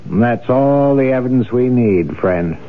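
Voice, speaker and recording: A matter-of-fact investigator played by a male actor in a seventies radio play. His voice sits at a middle pitch and comes through a narrow, tinny old broadcast recording. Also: lively intonation, a little slow, a little hiss or hum, natural voice. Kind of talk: 1970s radio drama acting.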